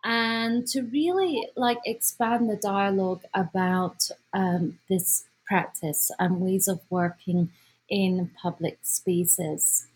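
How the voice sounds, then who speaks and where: A woman with a Scottish accent informally presenting an event as its organizer, speaking haltingly as she searches for words. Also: mid-range voice, a little mellow, slightly rough, poor audio. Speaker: symposium organizer, guest speaker.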